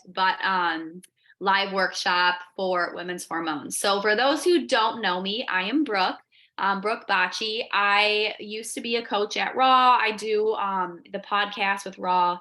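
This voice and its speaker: A woman, a wellness coach and podcast host, raspy from allergies, presenting a workshop in an enthusiastic, chatty way on a video call. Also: medium pitch, unhurried, pushed and bright.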